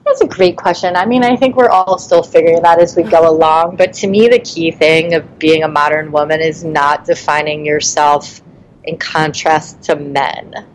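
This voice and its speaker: A woman's voice: deep voice, steady tone, moderate pace, low-quality recording. A woman in her forties, a podcast guest, speaking frankly and reflectively, hedging with "I mean" and "like". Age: 40s. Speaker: podcast guest, nonprofit founder. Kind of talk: casual interview chat.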